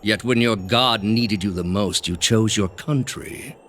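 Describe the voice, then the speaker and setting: An actor playing a grave, zealous older male inquisitor leader in a video game, speaking in a measured, commanding way. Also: lowish voice, a bit bright, a little hiss or hum. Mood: cold, disdainful. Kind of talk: scripted video game dialogue. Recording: music under the voice.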